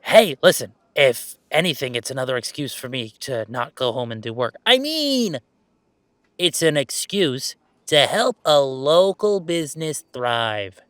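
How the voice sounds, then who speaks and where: A man playing an earnest, playful young werewolf gamer in an intimate, conversational roleplay audio, speaking in a halting, emphatic way. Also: medium pitch, dry recording. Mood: playful, coaxing. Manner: halting, emphatic, expressive, with sighs and chuckles.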